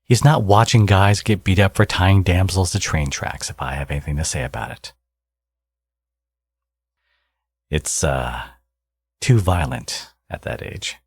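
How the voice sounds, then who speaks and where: A man playing a smug, teasing villain with a secretly caring side in an ASMR audio roleplay, speaking casually and sardonically with a husky voice. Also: sits low, normal speed, dry recording, full character voice. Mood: exasperated.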